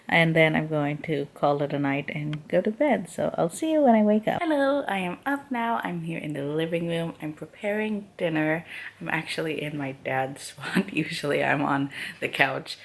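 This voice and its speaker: A woman's voice: low voice, expressive, normal speed. A woman talking in a casual, chatty, informal way to her vlog at home. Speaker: vlogger. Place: indoors at home, living room and kitchen. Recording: noisy home camera audio.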